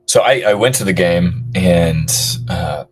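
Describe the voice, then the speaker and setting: A hoarse man with a somewhat low voice calls in and talks in a loose, rambling way. He restarts his sentences often and fills the gaps with filler words, like an excited fan chatting. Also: unhurried, a little hiss or hum, poor audio. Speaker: caller. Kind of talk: casual call-in chat.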